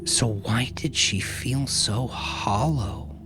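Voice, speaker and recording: A man narrating dramatic fiction from a script in a measured, solemn manner, with hushed phrase endings. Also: medium pitch. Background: music.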